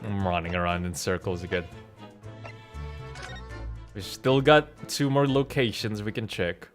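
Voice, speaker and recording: A man's voice: on the low side, fairly even intonation, a little slow. A man doing casual commentary while he plays and reads out a video game. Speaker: game streamer and voice performer. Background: game music.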